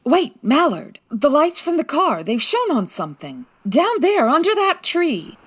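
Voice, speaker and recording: A woman playing a sassy, sharp female private detective in a comedic 1940s-style radio drama, with wry, teasing delivery. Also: high voice, big pitch swings, measured pace. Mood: excited, curious. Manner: wry, teasing, dry quips.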